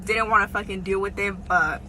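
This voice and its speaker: A woman's voice: mid-range voice, fairly even intonation, fairly quick, sharp tone. A young woman telling a personal story casually for social video, slangy, conversational and often swearing. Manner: frequent profanity, slangy and conversational. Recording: clear background noise.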